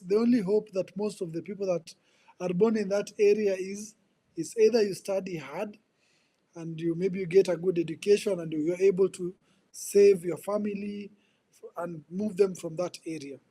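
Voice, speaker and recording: A man in his twenties with a Kenyan accent, telling his life story as a podcast guest in an earnest, halting way, often repeating words. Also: sits high, steady tone, mellow. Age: 20s. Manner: earnest, repeats words while searching for them. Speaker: guest, ministry coach. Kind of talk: personal testimony in conversation.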